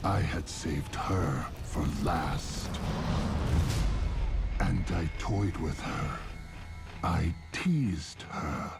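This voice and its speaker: An actor playing a sadistic, obsessed serial killer in a menacing, brooding monologue for a dark video game cutscene. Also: male voice, heavily acted. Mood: brooding menace. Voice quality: deep, gravelly. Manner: slow, savoring, menacing monologue. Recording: loud music under the voice.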